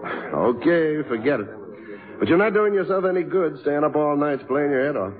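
An actor playing a brisk, chiding orchestra leader talking as a boss in a 1950s radio drama. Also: male voice, a little high, animated, natural voice. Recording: muffled 1950s radio with crowd noise.

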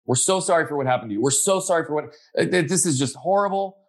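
A man's voice: a little high, quick pace. A man in a conversational interview, speaking in an earnest, reflective way and often checking in with "right?". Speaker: guest.

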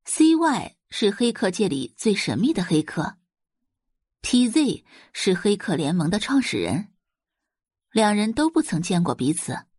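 A woman narrating a dramatic audio novel in an expressive storytelling manner. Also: mid-range voice, unhurried, breathy edge, no room sound, studio quality. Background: faint background music.

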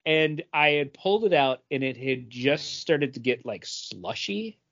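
A man's voice: fairly high pitch, animated, a little slow, creaky, a bit bright, highs cut off. A man co-hosting a podcast, chatting casually with his friends. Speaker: podcast co-host.

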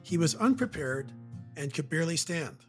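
Canadian male host-narrator, animated delivery, light music bed. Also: on the high side, moderate pace. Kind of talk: scripted narration.

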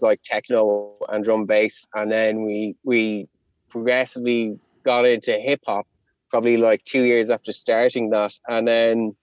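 A man's voice: mid-range voice, darkish tone, smooth, no echo. Chatty man, dull dry sound. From Connemara, Ireland. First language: Irish. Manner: rambling, self-deprecating, chatty with many fillers. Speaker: rapper, interview guest. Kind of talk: casual storytelling.

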